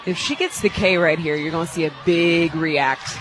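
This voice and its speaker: An American woman giving casual, conversational and emphatic analysis as the color commentator on a softball radio broadcast. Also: lowish voice, normal speed, a crowd in the background, noisy background.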